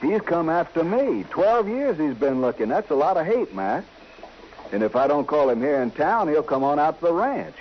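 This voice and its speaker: A man's voice: fairly high pitch, sing-song, normal speed. An actor playing an anxious, proud reformed gunfighter and family man in an old-time radio western, with folksy, plain-spoken cowboy speech. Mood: afraid, stubborn. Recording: muffled, noisy old radio recording.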